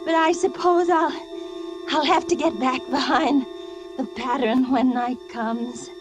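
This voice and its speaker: An actress playing a secretive wife descending into madness, intense and theatrical, confiding to herself as in a suspense radio drama. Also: female voice, sits high, steady tone, unhurried. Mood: dreamy, deranged. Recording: radio drama with loud music behind.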